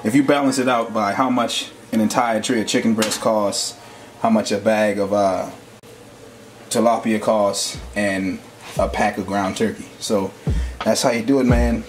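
A man's voice: lowish voice, steady tone, a little slow, over music. A young man casually talking through his meal prep in a home kitchen, with a laid-back, slangy way of talking into a handheld camera. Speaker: fitness vlogger. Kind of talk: casual cooking vlog. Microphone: handheld camera mic.

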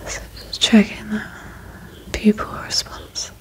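Slow whispering young woman, ASMR. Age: young adult. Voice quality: breathy whisper. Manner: gentle, soothing instruction. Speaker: ASMR performer playing an eye examiner. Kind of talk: ASMR medical roleplay, whispered. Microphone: very close microphone.

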